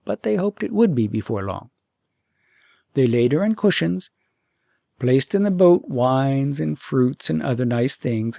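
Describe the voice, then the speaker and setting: Male storyteller with a faintly creaky voice. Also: medium pitch, dry recording, clean studio sound. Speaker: audiobook storyteller and host. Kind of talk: scripted storytelling narration of a fairy tale.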